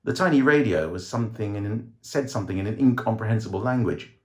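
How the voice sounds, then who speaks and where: A man reading a short story as dramatic scripted narration, with theatrical, measured phrasing. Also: fairly low pitch, a little mellow. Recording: roomy, echoing voice recording.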